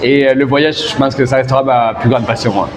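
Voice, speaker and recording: A man's voice: mid-range voice, steady tone, brisk, reverberant, in a large hall, over music. A man in his twenties from southern France, chatting enthusiastically in a street interview with many hesitations. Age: 20s.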